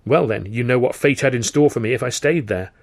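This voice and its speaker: A man's voice: mid-range voice, fairly quick, dry recording, lightly acted. A man voicing a flighty, teasing young woman dancer in audiobook dialogue, rambling breezily. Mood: wry. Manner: breezy, rambling, with tag questions.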